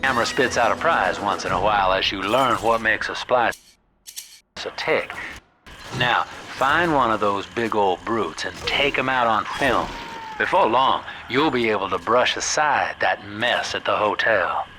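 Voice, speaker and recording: An actor playing an avuncular guide who gives colloquial instructions to a younger protégé in a video game. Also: male voice, on the low side, lively intonation, a little slow, music in the background, low-quality recording. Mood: coaxing, instructive. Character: smooth, folksy guide coaching a younger protégé. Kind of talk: scripted video game guidance.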